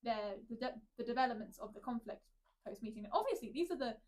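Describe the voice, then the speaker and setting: A woman's voice: fairly high pitch, expressive, fast talker, a little mellow. A woman co-hosting a political podcast, chatty and enthusiastic, with frequent fillers. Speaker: podcast co-host. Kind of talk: casual political chat.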